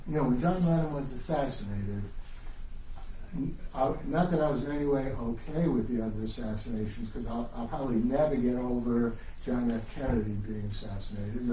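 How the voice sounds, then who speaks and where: A man's voice: mid-range voice, warm tone. A man in his seventies from the Bronx with a New York accent, telling rambling anecdotes casually to an audience. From New York City, the Bronx. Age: 70s. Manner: rambling, digressive storyteller. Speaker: author speaking at a book event. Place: indoor bookstore event, picked up from a distance. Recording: echoey room, distant mic, faint noise.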